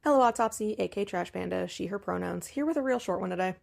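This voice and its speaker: A woman's voice: mid-range voice, fast talker, vocal fry, a little mellow. A woman giving prepared, earnest analytical commentary in a listener voice submission.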